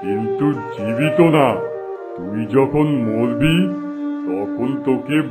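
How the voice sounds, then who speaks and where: A man's voice: mid-range voice, slow pace, close to an everyday voice. A man playing a cruel, taunting tantric sorcerer who gives commands in a fairy-tale cartoon. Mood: cruel, gloating. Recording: voice under loud background music.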